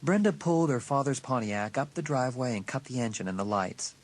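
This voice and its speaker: A man's voice: mid-range voice, expressive, a little fast. A man giving a dramatic, scripted narration of an audiobook story.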